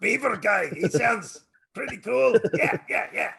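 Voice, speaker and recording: A man voicing a crude, goofy male guest character, raspy and gravelly, cracking lewd jokes and repeating "yeah, yeah, yeah" on a casual podcast. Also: high voice, lively intonation, measured pace, sharp tone, exaggerated voice. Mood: crude joking.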